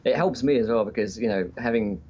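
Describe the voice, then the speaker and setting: Male podcast guest, lower register, conversational and hesitant, dull remote-call sound. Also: brisk, dark tone, husky. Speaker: podcast guest, tabletop game streamer. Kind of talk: casual interview chat.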